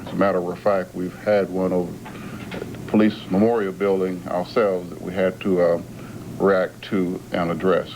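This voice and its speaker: A man with a deep voice delivers an official sheriff's update at a press briefing. He speaks in a measured, halting way. There is light noise on the recording, as on an old TV tape. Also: darkish tone, poor audio. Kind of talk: public briefing at a podium. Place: press briefing at emergency operations center. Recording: faint noise, archived TV broadcast tape.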